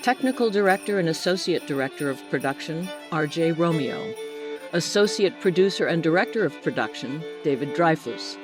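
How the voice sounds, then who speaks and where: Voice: medium pitch, lively intonation, moderate pace. Formal radio announcer over jingling music. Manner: formal, orderly listing. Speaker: credits announcer. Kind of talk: scripted radio credits reading. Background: jingling holiday music.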